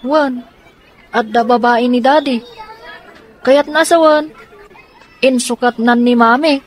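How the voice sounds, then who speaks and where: A woman's voice: fairly high pitch, steady tone, slow pace, a little hiss or hum. A woman playing a determined, scheming young daughter in a radio drama, speaking earnestly and insistently. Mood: troubled.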